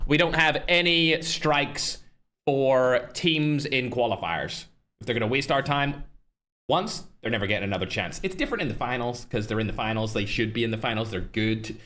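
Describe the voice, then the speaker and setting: A man's voice: mid-range voice, bright, forceful tone. A man hosting a live stream, chatting casually and brashly straight to his viewers with frequent asides. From Northern Ireland. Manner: brash, fast-flowing, talks straight to chat, trash-talking. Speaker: livestream host and tournament organizer.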